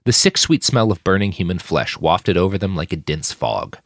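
Low-pitched man narrating dramatic fiction. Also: normal speed, creaky, no echo, studio quality. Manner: expressive, shouts out characters' lines. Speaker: audiobook narrator reading own fiction podcast.

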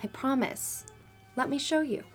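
A woman's voice: measured pace, soft background music. A woman doing a sly, murmuring ex-lover. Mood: coaxing, sly. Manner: coaxing, teasing, low and murmured.

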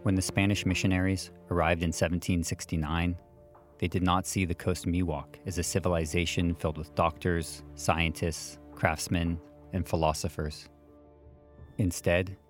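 Very deep-voiced male documentary narrator. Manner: measured, even delivery of prepared text. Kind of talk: scripted narration.

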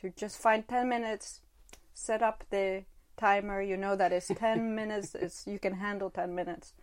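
A woman from Guatemala talks as a podcast guest about her son and her own path. She speaks in a reflective, halting way, often pausing on "you know", in a mid-range voice. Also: mid-range voice, fairly even intonation. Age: adult with a teenage son. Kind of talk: conversational interview.

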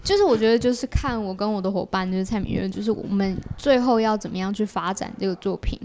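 A woman's voice: mid-range voice, fast, a little mellow, a little hiss or hum. A woman with a Taiwanese Mandarin accent, a podcast guest explaining her creative work in a casual conversational way. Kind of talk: casual explanatory interview talk.

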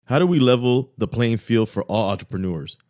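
A man voicing a scripted advertisement in a measured, earnest manner. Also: medium pitch, lively intonation, a little mellow, no room sound, clean studio sound.

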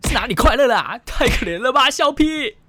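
A woman's voice: sits high, lively intonation, moderate pace, pushed and bright, dry recording. A woman co-hosting a comic radio skit, breaking in with playful, exclamatory protests. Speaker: co-host heckling the story. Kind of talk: playful comedic interjections.

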